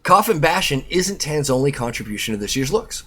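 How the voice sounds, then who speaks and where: A man's voice: fairly high pitch, sing-song, a little fast, sharp tone, slightly rough. A man hosting a video, reading an article aloud in an informal way and breaking off for his own sweary asides. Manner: informal, self-interrupting, sweary. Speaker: YouTube host and reviewer.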